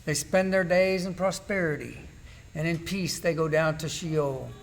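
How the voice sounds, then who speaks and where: A man's voice: a little high, a little slow, creaky. A man playing an anguished, bitter suffering man in an amateur church Bible drama, reciting in a declamatory way. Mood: bitter, defiant.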